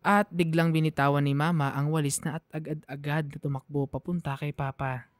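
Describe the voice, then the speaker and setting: A man's voice: on the high side, little pitch movement, fairly quick. A man giving dramatic, scripted first-person narration for a radio drama in a heartfelt, emotional manner.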